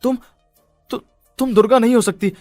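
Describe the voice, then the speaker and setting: A man's voice: very high voice, steady tone, moderate pace, a little mellow, no echo, faint music behind, pitched above the performer's own voice. A man voicing an anxious, pleading young husband in a dramatized horror cartoon story, agitated and prone to stammer. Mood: panicked, frightened. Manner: agitated, stammering when frightened.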